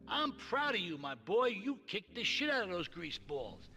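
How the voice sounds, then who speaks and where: Smug old mafia boss, kinda high voice, music going underneath. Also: male voice, big pitch swings, moderate pace, bright, forceful tone, full character voice. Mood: jovial menace. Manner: jovial, patronizing, menacing understatement. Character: boastful older Mafia don. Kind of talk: video game cutscene acting.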